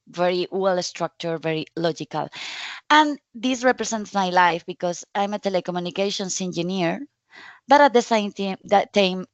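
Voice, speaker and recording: A woman from Spain with a Spanish accent, giving a conference presentation in friendly, halting non-native English with frequent restarts. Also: on the low side, no echo, highs cut off. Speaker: conference speaker.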